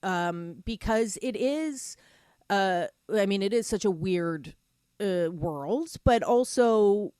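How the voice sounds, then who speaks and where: A woman's voice: mid-range voice, expressive, slow, darkish tone, clear, resonant tone, dry recording, clean studio sound. A woman radio host asking long, rambling questions with many fillers in a friendly interview. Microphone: studio microphone.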